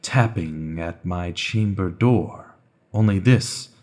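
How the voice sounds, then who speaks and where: An anxious, grieving scholar alone at midnight, played by a man reading gothic verse. He speaks very slowly in a dramatic, halting way, leaning hard on his words. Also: low-pitched, darkish tone. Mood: uneasy.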